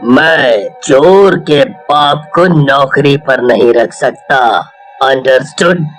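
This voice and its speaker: An actor playing a stern, haughty boss coldly firing an employee in a cartoon story. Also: male voice, medium pitch, soft background music, natural voice. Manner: cold, dismissive, slow.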